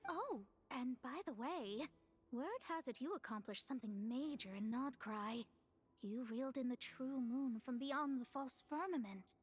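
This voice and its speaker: A woman's voice: sits high, a little slow, slightly rough. A woman voicing a sly, mercenary young fortune-teller in a video game, haughty, teasing and businesslike. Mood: smug, breezy. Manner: teasing, self-assured, businesslike. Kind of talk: video game character acting.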